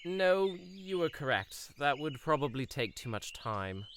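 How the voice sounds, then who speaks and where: A man's voice: a little high, big pitch swings, a little slow, gravelly, a bit bright, a little hiss or hum, exaggerated voice. A man playing a pompous, lilting fairytale prince. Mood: thoughtful. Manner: pompous, flowery old-fashioned formal speech. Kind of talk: audio drama acting.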